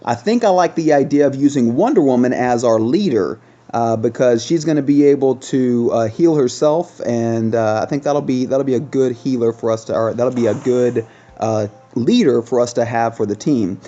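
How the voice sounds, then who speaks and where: A man with a relaxed, dark-toned voice gives casual commentary as he hosts a gaming video. He is chatty and thinks aloud, trailing into pauses as he decides. The sound is a little dull and lo-fi, like a simple home recording. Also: medium pitch, soft background music.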